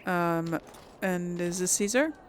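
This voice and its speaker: A woman's voice: on the low side, slow pace, a little hiss or hum. A woman giving casual role-play game commentary, hesitant and thinking aloud as she goes. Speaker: gaming video host.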